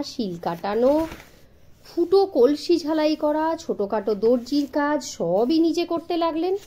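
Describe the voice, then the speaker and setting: A woman narrating a children's story in an expressive, storybook style. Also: on the high side.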